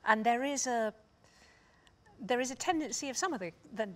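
A British woman in her sixties speaking thoughtfully on a discussion panel, with a measured, wry, reflective manner. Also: on the high side, animated, fairly bright tone. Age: 60s. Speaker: panelist, drama scholar. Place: stage panel before dark curtains, indoors.

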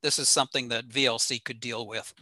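An older man giving an informal technical slide presentation in a halting, matter-of-fact way. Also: mid-range voice, fairly even intonation, pushed and bright. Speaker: presenter at an amateur radio talk. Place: small room at home, on a video call.

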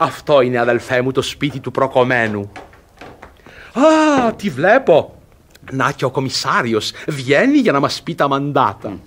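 Bossy, wildly animated farce brother. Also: male voice, medium pitch. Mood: eager, gloating. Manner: hectoring, exclamatory. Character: bossy, impatient, gloating middle-aged brother in classic farce. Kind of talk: theatrical radio comedy acting.

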